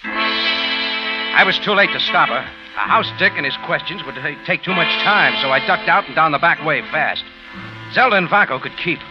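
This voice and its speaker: An actor playing a tough, wry American hero who narrates and talks slang in an old-time radio detective drama. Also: male voice, on the high side, moderate pace, natural voice. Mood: terse narrating. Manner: hard-boiled, wry, slangy. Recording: lo-fi old radio recording with music.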